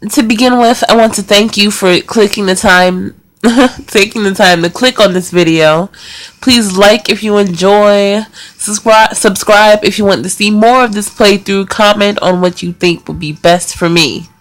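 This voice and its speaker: A woman's voice: mid-range voice, unhurried. A woman hosting a let's play, giving casual, chatty gaming commentary. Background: music.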